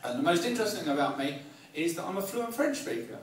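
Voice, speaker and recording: A man in his forties with a southern English accent, doing live stand-up comedy in a wry, self-deprecating way with halting, repeated phrases. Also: a little high, lively intonation. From Kent, England. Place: live comedy night in a pub venue. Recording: echoey club, distant mic, audience noise. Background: audience laughter.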